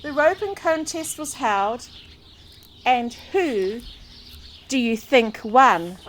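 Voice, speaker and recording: A woman reads a children's story in a high, very animated voice. She is expressive and takes her time, turning to the listener with questions. Birds sing behind her amid faint outdoor noise. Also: slow pace. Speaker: storybook reader.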